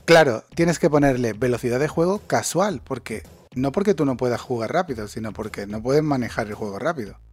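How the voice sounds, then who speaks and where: A man's voice: medium pitch, animated, a little fast, few pauses, darkish tone, husky. A man, ranting sarcastically and swearing in a casual chat as a podcast co-host. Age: 40s.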